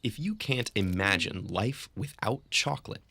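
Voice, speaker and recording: A man giving scripted narration for an educational video in a smooth, measured storytelling way. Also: on the low side, lively intonation, sharp tone, dry recording. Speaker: documentary narrator.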